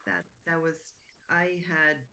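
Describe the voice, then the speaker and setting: A middle-aged woman from Croatia, an emergency physician recounting experiences in a measured, reflective way with pauses. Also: sits low, level tone, gravelly, pushed and bright, slight background noise, poor audio. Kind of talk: conversational podcast storytelling.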